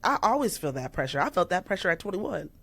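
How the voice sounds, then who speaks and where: Animated woman turning thirty. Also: lowish voice, fast talker. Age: 30s. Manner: laughs often, animated, quotes herself in raised voice. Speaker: guest musician. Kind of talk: casual podcast interview.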